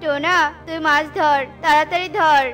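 A woman's voice: high-pitched, fairly even intonation, moderate pace, a bit bright, soft background music. A woman voicing a sneaky male thief crow in a children's cartoon, with a scheming, whiny manner.

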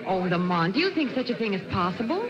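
A woman's voice: mid-range voice, big pitch swings, fast, close to an everyday voice. An actress playing a skeptical, sociable lady companion in an old radio drama, questioning and lightly scolding. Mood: curious, scolding. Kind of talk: 1940s radio acting. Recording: dull vintage radio, crowd murmur.

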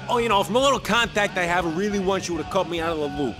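A man's voice: sits high, expressive, very fast, over music. An actor playing a pushy, sarcastic criminal dealer in a video game cutscene, needling as he talks. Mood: irritated. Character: sardonic, pushy, fast-talking male criminal dealer.

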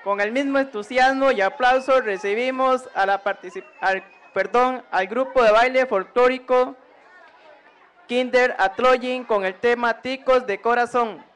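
A man's voice: very high-pitched, steady tone, measured pace, fairly bright tone, clean tone, some chatter behind. A man working as event announcer who introduces a folk dance group at an outdoor festival in a formal announcing tone, heard from a distance through a PA. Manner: formal announcing, corrects himself.

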